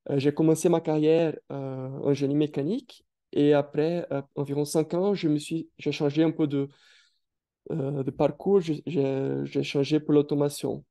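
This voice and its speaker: Hesitant man, very dark voice. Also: fairly high pitch, flat delivery, normal speed. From Brazil. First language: Portuguese. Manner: hesitant, many fillers and restarts. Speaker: guest speaker. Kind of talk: career testimony on a webinar.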